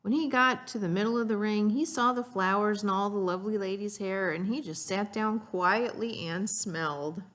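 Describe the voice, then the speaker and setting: A woman's voice: medium pitch, moderate pace, hardly any pauses, fairly bright tone, a little hiss or hum. A woman reading a storybook aloud and teaching children, explaining simply and putting questions to her young listeners.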